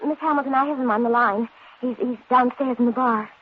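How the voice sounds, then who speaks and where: An actress playing a devoted, scatterbrained young American secretary with a fluttery manner, in 1940s radio style. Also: female voice, a little high, a little fast, breathy edge. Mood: flustered. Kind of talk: 1940s radio comedy-drama acting. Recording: dull, noisy 1940s radio recording.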